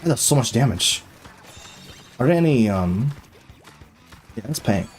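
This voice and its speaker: A young man chatting casually on a live gaming stream, excitable and loose, full of fillers and slang, swearing freely. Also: medium pitch, measured pace, faint music behind.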